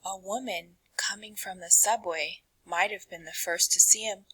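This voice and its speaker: A woman reading a children's picture book aloud in an expressive, dramatic way, with deliberate pauses and hushed phrase endings. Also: mid-range voice, husky, low-quality recording.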